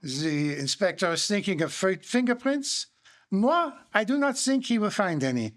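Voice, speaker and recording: Audio drama role: shrewd, dapper Belgian sleuth, French-speaking Belgian accent, lofty pitch, swooping and courteous delivery. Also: male voice, sing-song, moderate pace, fairly bright tone. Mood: urbane, assured. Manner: formal, theatrical, courtly. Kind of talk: live radio-style audio drama acting. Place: library performance before a live audience.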